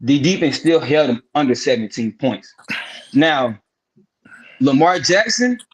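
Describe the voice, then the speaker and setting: A man's voice: mid-range voice, lively intonation, measured pace, rough recording. A man co-hosting a casual sports podcast, breaking games down in a profane, emphatic style and repeating words for effect. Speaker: podcast co-host.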